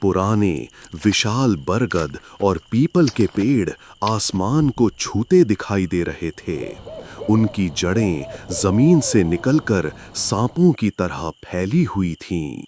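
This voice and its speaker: A man narrating a scripted horror story in a suspenseful, deliberate, dramatic manner. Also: deep voice, sing-song, noisy background.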